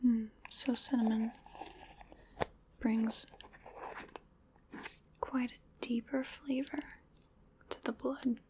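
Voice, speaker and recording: A whispering woman acting as a female vampire, unhurried and fairly high in pitch. She is playful and darkly doting, coaxing her prey with pet names in an ASMR roleplay. Noticeable noise sits behind the voice. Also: fairly even intonation, darkish tone, low-quality recording. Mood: musing, indulgent.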